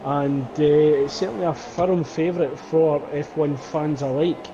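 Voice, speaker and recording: A man giving play-by-play commentary on a sim race, calling lap times in a steady, measured way. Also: fairly high pitch, muffled recording, background noise. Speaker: lead commentator.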